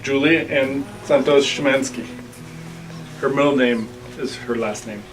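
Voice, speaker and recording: A man's voice: medium pitch, measured pace. A man informally interprets for his wife as she gives a testimonial. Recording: distant mic, music over speech.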